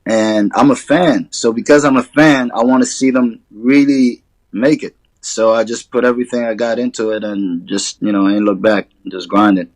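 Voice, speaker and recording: A man from Sudan, a rapper guest, talking in a relaxed, casual way with hip hop slang. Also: fairly low pitch, normal speed, no echo. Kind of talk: casual interview chat.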